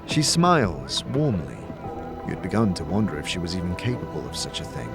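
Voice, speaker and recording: A man's voice: low-pitched, sing-song, brisk. A man narrating a scripted fantasy audio drama as its storyteller and game master. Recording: music under the voice.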